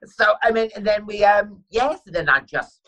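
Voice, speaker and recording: A man's voice: high-pitched, animated, normal speed, sharp tone, highs cut off. A man being interviewed on a podcast, chatting away and telling anecdotes, full of ums and quoting himself. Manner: chatty storyteller with fillers and self-quotes. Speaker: guest. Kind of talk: anecdotal chat.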